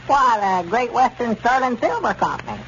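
An actor playing a gullible, earnest country storekeeper with a rural Southern drawl, in a 1930s radio serial. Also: male voice, extremely high pitch, sing-song, normal speed, a bit bright, exaggerated voice. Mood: earnest, fretful. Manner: drawling, folksy, ungrammatical speech. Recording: dull, noisy 1930s radio recording.

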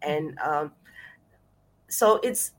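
Slightly low-voiced Singaporean woman hosting. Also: expressive, very slow, poor audio. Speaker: host. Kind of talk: conversational discussion hosting.

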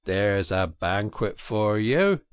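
Man doing a bustling, brisk rat, cheery and capable, slow and lilting. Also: fairly low pitch, big pitch swings, clear, resonant tone, dry recording, studio quality. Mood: reproachful. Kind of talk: storybook reading.

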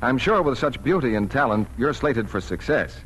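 A man with a medium-pitched, animated voice delivers a 1940s radio commercial. He is polished and persuasive, reading from a script on an old recording. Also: normal speed, a little hiss or hum, rough recording. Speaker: commercial announcer.

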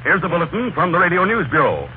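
A man's voice: fairly high pitch, huge pitch swings, quick pace, phone-quality sound. A man announcing on a 1940s radio show in a polished, salesmanlike way, on a thin, crackly recording.

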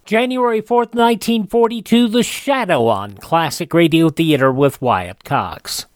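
A man's voice: fairly high pitch, measured pace, faint music behind. A man hosting a classic radio programme, presenting background notes informally at a close studio microphone. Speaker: radio show host.

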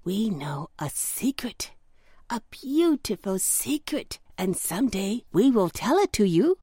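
A woman's voice: mid-range voice, sing-song, takes it slowly, dark tone, breathy edge, no echo, clean studio sound, exaggerated voice. A woman voicing merry, reassuring little sunbeams and breezes in a children's storybook, in a singsong, coaxing manner.

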